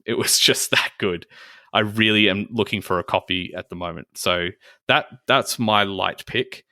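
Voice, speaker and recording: A man's voice: lowish voice, moderate pace, bright, forceful tone, no echo, studio quality. A man co-hosting a casual board game podcast, enthusiastic about the games he recommends. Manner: enthusiastic, upbeat. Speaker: podcast co-host. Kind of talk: casual chat.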